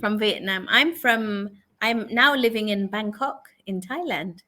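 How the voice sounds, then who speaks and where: A woman's voice: mid-range voice, measured pace, bright, forceful tone. A woman, patiently chatting with a young learner in an online conversation lesson and repeating the answers back. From England. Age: 40s. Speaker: online English tutor.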